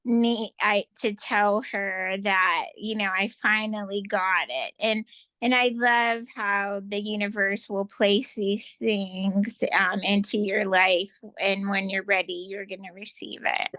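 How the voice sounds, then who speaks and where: A woman guest in a casual interview who shares reflective stories, often pausing with fillers. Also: mid-range voice, steady tone, pushed and bright, no room sound. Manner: reflective storyteller, lots of fillers.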